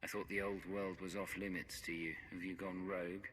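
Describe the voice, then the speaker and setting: An actor playing a cool, wry outlaw hero in a video game with dry, understated delivery. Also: male voice, deep voice, flat delivery, a little fast, a bit bright, a little hiss or hum. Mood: wry, defiant.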